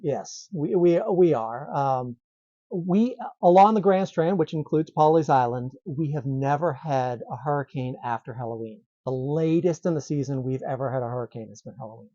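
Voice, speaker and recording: Middle-aged man, warm mellow voice, easygoing guest, dull lo-fi sound. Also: mid-range voice, moderate pace, dry recording. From Massachusetts. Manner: easygoing, laughs lightly, restarts phrases. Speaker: meteorologist guest. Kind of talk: casual interview chat.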